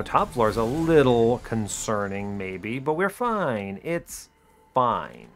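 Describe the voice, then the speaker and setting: A man giving casual, explanatory video game commentary in an informal way, often checking in with the listener. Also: medium pitch. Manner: informal, animated bursts, checks in with rhetorical "right?" and "okay?". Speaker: video game streamer, series host.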